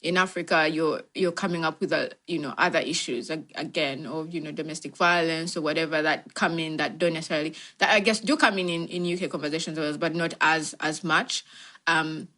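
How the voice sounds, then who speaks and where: A woman's voice: deep voice, fairly even intonation, brisk, pushed and bright. A woman with a Ugandan accent giving reflective, explanatory answers in a conversational interview, often saying "you know". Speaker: tech organisation founder, interview guest.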